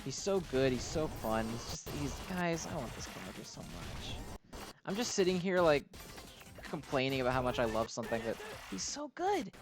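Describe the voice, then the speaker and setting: A man's voice: mid-range voice, very animated, moderate pace, over music. A man hosting a gaming video with casual, gushing, enthusiastic commentary, often slipping "like" into his sentences.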